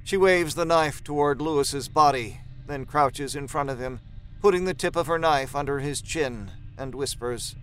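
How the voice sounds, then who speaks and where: A man's voice: on the high side, moderate pace, fairly bright tone, soft background music. A man narrating a horror story from a script.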